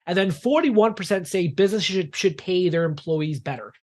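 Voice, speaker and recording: Male talk show panelist, mid-range voice, halting, conversational debating style. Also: sits high, a little mellow.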